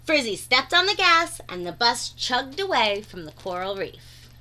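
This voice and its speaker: A woman's voice: a little high, sing-song, a little slow, bright, forceful tone. A woman reading a children's storybook aloud expressively, drawing out words for dramatic emphasis. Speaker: read-aloud narrator.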